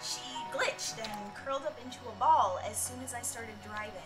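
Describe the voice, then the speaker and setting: A woman's voice: fairly high pitch, moderate pace, over music, natural voice. A woman playing a plucky, eager thirteen-year-old girl pickpocket, cheeky in a radio drama. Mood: sheepish, determined.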